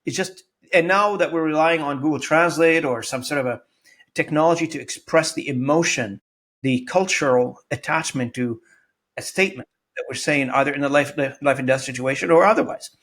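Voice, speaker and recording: A man's voice: on the high side, moderate pace, a bit bright. A man whose first language is Arabic, hosting an interview in an earnest, searching way with frequent restarts. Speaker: podcast host. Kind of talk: conversational interview.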